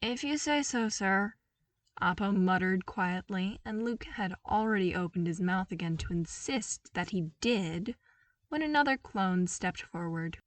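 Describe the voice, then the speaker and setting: A woman's voice: fairly low pitch, unhurried, dry recording. Woman narrating a story, slightly distant mic. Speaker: fan fiction reader. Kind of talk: expressive scripted story narration.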